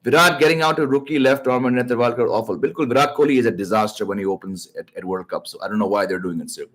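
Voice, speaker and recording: Male live-stream cricket host, very fast patter, ribs his chat, code-switches into Hindi. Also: mid-range voice. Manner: teasing, excitable, switches into Hindi. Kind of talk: live stream chat, reading viewer comments. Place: at home.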